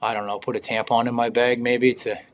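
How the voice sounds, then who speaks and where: A young man with a slightly raspy voice, talking casually as an interview guest, rambling with lots of fillers and frequent laughs. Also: mid-range voice, little pitch movement, brisk, slight background noise. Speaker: guest, ultrarunner.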